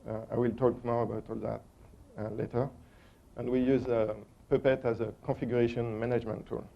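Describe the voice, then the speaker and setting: A man's voice: medium pitch, little pitch movement, brisk, vocal fry. A man with a strong French accent giving a technical conference talk, hesitant and full of ums and uhs. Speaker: conference speaker. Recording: dull lo-fi conference recording.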